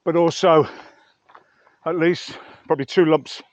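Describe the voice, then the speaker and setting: Higher-pitched man chatting outdoors, slightly dull sound. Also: steady tone, measured pace, no room sound. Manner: chatty, rambling, musing aloud. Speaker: vlogger reviewing gear outdoors. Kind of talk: casual narration to camera while hiking. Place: outdoors on a mountain trail.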